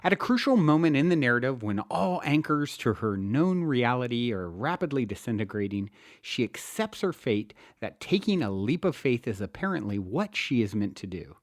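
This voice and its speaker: Expressive man reading spiritual self-help. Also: mid-range voice, normal speed, few pauses, dry recording, clean studio sound. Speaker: podcast host and author reading own book. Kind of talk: spiritual self-help audiobook narration with casual podcast introduction.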